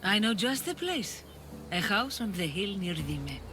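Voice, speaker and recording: A woman's voice: medium pitch, very animated, unhurried, background noise. An actress playing a caring, regretful, seasoned rebel woman in a video game. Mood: purposeful. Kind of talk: video game cutscene acting.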